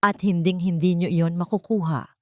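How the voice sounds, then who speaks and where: A woman's voice: fairly low pitch, fairly even intonation, normal speed, warm tone, dry recording, clean studio sound, close to an everyday voice. A woman voicing a proud, defiant young heroine held captive in an audio drama story. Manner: resolute, defiant.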